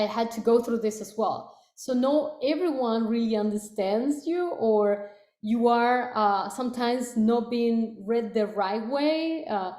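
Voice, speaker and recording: A woman's voice: fairly high pitch, mellow. A woman with a Spanish accent, a native Spanish speaker from Latin America, speaking as a product leader on a panel, hesitant with many fillers and restarts. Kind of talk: panel conversation. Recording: echoey room.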